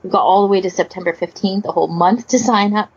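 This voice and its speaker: Gravelly, husky woman on a podcast. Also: medium pitch, fast talker, a little mellow, dull audio. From United Kingdom. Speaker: podcast host. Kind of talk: casual podcast discussion.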